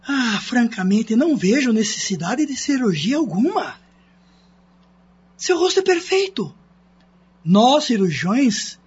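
Very husky, deliberate surgeon voiced by a woman. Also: high-pitched, exaggerated voice. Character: calm, honest, kindly plastic surgeon. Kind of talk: radio drama acting. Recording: dull lo-fi radio drama.

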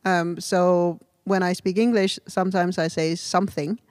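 A woman's voice: fairly low pitch, steady tone, moderate pace, darkish tone, dry recording, studio quality. A woman with a light Danish accent, a native Danish speaker, co-hosting a podcast in casual, reflective chat. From Denmark. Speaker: podcast co-host.

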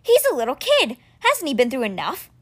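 A woman voicing an overwhelmed teenage boy, talking high and strained. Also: huge pitch swings, fairly quick, a bit bright, breathy edge, exaggerated voice. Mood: anxious.

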